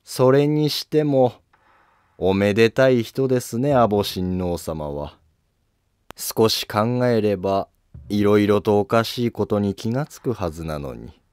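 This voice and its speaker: Guy doing a sly polite old-time court noble, calm formal talk, really slow, lowish voice. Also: clean tone, no room sound. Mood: cool, calculating. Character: scheming, coolly polite male Heian court noble.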